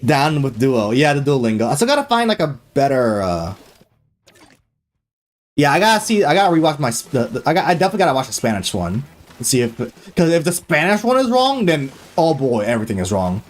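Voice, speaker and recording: A young man chatting casually on a live gaming stream, excitable and loose, full of fillers and slang, swearing freely. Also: fairly high pitch, fairly quick.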